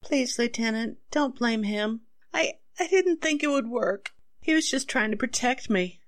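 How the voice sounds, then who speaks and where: High-voiced, pleading accused wife, acted by a woman. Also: lively intonation. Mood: anxious, pleading. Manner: halting, pleading, anxious. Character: distraught, pleading woman accused of murdering her husband. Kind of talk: 1940s radio melodrama acting.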